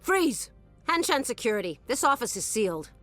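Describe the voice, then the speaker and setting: An actress playing a brash, corrupt security guard in a science fiction game, barking and streetwise. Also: female voice, on the high side, sing-song, moderate pace, a bit bright, soft background music. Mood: commanding, suspicious. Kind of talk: scripted video game dialogue.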